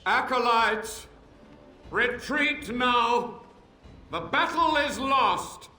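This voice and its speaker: A man's voice: extremely high pitch, lively intonation, very slow, bright, forceful tone, exaggerated voice. A man playing a desperate, commanding mage in a fantasy video game, declaiming theatrically. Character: intense male blood mage archivist, desperate and commanding. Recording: echoey distant game scene with music.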